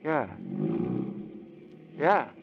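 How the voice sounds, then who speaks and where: A man's voice: on the high side, lively intonation, extremely slow. An actor playing a polite, easily flustered bank man in an old radio drama. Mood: polite, puzzled. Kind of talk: 1940s radio drama acting. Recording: dull, noisy old radio recording.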